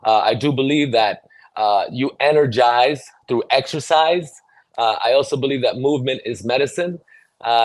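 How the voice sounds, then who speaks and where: A man's voice: mid-range voice, dry recording. A middle-aged man raised in New York City, born in El Salvador, explaining things earnestly as an interview guest. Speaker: podcast guest, mobility fitness trainer. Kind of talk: conversational interview answers.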